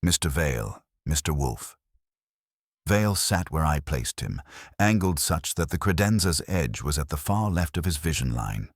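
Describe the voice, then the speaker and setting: A man narrating a scripted first-person detective story as an audiobook, with wry, deadpan phrasing. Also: a bass voice, normal speed, no room sound, clean studio sound.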